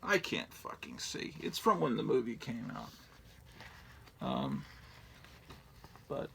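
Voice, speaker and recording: Middle-aged guy with a real gravelly fry in his voice, rambles and cusses, faint hiss behind him. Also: on the low side, wildly swooping pitch, slow, pushed and bright. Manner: profane, digressive, self-mocking. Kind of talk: casual rambling show-and-tell.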